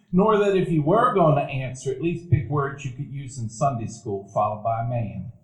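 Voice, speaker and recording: A middle-aged man tells the story with wry, reflective warmth of memory at a medium pitch. It is recorded live, with a roomy echo from the theater. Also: measured pace. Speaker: narrator. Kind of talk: storytelling narration in a live radio play. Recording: echoey live theater room.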